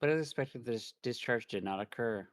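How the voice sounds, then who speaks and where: A man's voice: mid-range voice, moderate pace, dry recording, clean studio sound. A man co-streaming a visual novel, reading narration and chatting casually. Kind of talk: game narration and casual chat.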